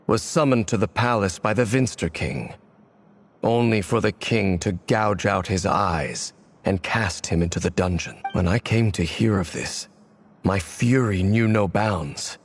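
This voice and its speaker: Give a brooding old-world swordsman a very low, measured voice that sounds heavy with memory, like someone who has carried a betrayal for centuries. He speaks slowly and gravely in game dialogue, each word weighted. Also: heavily acted. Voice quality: deep, measured.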